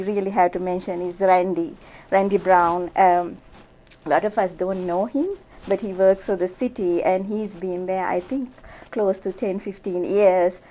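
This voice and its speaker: A woman's voice: medium pitch, warm tone, a little hiss or hum. Chatty woman doctor from Sri Lanka. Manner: chatty, many fillers and restarts. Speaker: physician guest. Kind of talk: casual interview answers.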